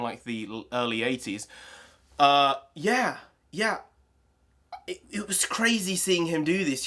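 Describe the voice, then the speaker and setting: A congested young man, talking casually and rambling excitedly as a channel host. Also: a little high. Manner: rambling, excitable, full of restarts. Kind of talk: casual solo commentary.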